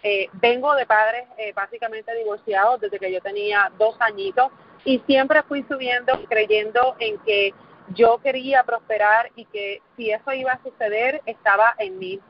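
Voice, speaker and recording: A woman's voice: a little high, normal speed, lo-fi sound, slight background noise. A Puerto Rican woman in her forties giving a motivational presentation, earnest and often repeating words for emphasis while asking the audience questions. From Puerto Rico. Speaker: motivational speaker.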